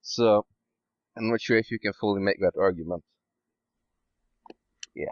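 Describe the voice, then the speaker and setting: A man speaking as a podcast guest, tentative and halting as he thinks through his opinion in casual discussion. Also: fairly low pitch, no room sound, dull audio.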